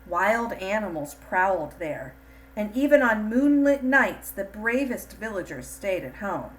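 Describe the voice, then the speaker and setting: A woman reading a scripted children's storybook in an expressive, deliberate storytelling manner. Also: mid-range voice, low-quality recording. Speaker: storybook reader.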